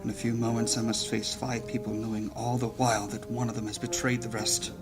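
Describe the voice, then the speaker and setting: A man playing a weary, grave leader of a mages' guild in a fantasy audio drama, speaking in measured, formal lines. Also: medium pitch, flat delivery, natural voice. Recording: music under the voice.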